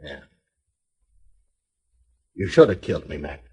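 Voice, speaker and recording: A low, very husky American gunfighter. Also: male voice, big pitch swings, slow, darkish tone, full character voice. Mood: fatalistic. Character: weary, fatalistic gunfighter outlaw, quietly menacing. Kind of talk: 1950s western radio drama acting.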